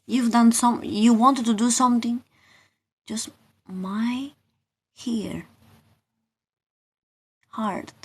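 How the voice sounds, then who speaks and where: A woman hosting a live tarot session, talking informally and haltingly to viewers, often asking questions and repeating her phrases. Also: on the high side, steady tone, a little mellow, husky.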